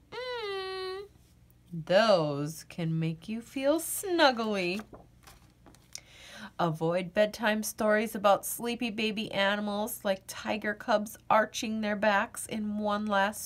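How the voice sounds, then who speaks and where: A woman reading a children's picture book aloud, playful and expressive, acting out the yawns as she goes. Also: on the high side.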